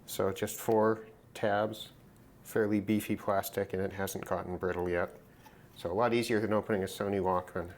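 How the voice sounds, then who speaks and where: A man giving casual, unscripted commentary on a hands-on repair, halting and musing to himself as he works. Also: on the low side, flat delivery. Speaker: host of a hobby radio repair video. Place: at a desk, indoors. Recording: clear background noise, handling clicks.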